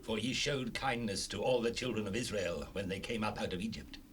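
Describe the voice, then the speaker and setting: Casting: male actor for stern biblical king, low regal voice, declamatory scripture drama, faint tape noise behind. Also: fairly quick, fairly bright tone, close to an everyday voice. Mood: grave. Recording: noisy cassette rip, ambient sound.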